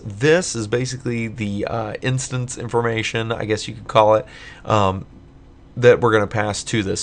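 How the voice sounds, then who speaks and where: Low-voiced man explaining code, slightly hoarse. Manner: casual, thinking aloud with many fillers. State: voice getting hoarse late at night. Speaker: tutorial maker. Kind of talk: screen-recorded coding tutorial.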